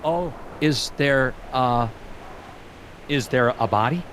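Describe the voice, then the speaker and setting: A man playing an earnest, awkward young FBI agent in an animated game, polite and hesitant with a deadpan streak. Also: mid-range voice, slow, slight background noise. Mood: puzzled, polite. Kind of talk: animated adventure game dialogue and dictated notes.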